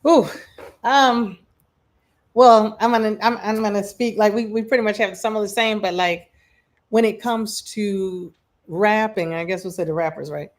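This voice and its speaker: A chatty woman in her forties with a Midwestern American accent, co-hosting a relaxed livestream. She tells stories with lots of "like" and "um" and quick asides, and her pitch sits in a middle range. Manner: animated storyteller, frequent "like" and "um", quick asides. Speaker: livestream co-host. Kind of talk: casual chat.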